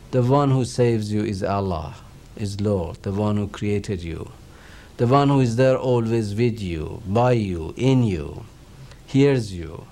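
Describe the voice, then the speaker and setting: A middle-aged man with a Persian accent teaches and explains scripture in a slow, measured, reverent manner. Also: lowish voice, mellow. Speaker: Quran teacher. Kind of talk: recorded religious lecture.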